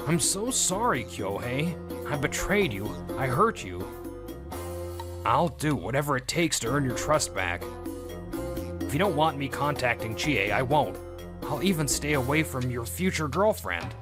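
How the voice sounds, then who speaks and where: A man's voice: mid-range voice, very animated, measured pace, over music. A man playing a shy, guilt-ridden young best friend with hesitant, emotional delivery in an anime-style comic dub. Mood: remorseful pleading.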